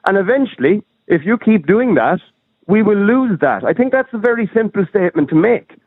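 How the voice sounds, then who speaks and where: A man calling in to a radio show, speaking earnestly with halting restarts and repeated words. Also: on the high side, no room sound. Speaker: caller. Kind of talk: phone-in opinion. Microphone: telephone. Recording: tinny phone line.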